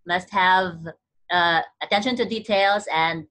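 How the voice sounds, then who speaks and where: A young woman hosting a casual interview over a video call, agreeable and quick to echo her guest. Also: on the low side, fairly bright tone. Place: indoor room, video call.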